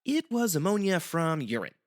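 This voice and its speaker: A man's voice: on the high side, big pitch swings, normal speed. A man as a guest explaining history in semi-scripted educational banter, articulate and wry.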